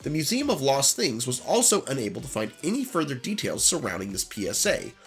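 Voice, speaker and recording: A man's voice: mid-range voice, a little fast, faint music behind. A man with a nasal voice from a cold, narrating a lost media video with self-corrections. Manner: animated reading with self-corrections. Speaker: host.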